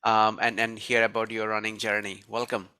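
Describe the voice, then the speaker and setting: A man's voice: on the low side, completely flat delivery, normal speed, sharp tone, smooth. A man hosting a podcast interview, asking loose, rephrased questions full of fillers. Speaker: podcast host. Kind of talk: casual interview.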